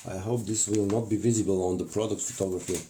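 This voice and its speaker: A man with a Bulgarian accent hosts a casual live crafting demonstration, talking haltingly with many fillers and restarts. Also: on the low side, fairly even intonation, mellow, a little hiss or hum. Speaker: craft livestream host.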